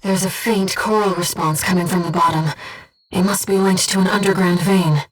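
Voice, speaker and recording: A woman's voice: on the low side, fairly even intonation, lightly acted. Woman voicing a distant, composed female companion spirit. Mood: calm briefing. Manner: measured, precise, earnest delivery. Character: calm, earnest, gentle female disembodied voice guiding a pilot. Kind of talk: scripted video game voice acting, mission support.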